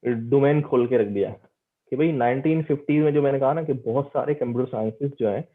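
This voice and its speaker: A man's voice: mid-range voice, brisk, narrow, tinny audio. A middle-aged man giving an informal, interactive lecture, explaining step by step and repeating phrases for emphasis.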